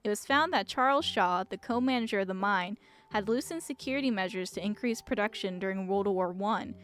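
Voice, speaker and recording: A woman's voice: mid-range voice, fairly quick, a bit bright. A woman streamer reading visual novel text aloud. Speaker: game streamer. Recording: music behind the voice. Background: music.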